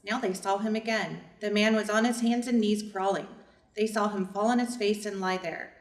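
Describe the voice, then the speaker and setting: A devoted family servant tells the story, read by a woman in reader's theater. Her voice sits at an average female pitch with scripted storytelling phrasing. The room is noticeably echoey. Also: steady tone, moderate pace, close to an everyday voice. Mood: earnest storytelling.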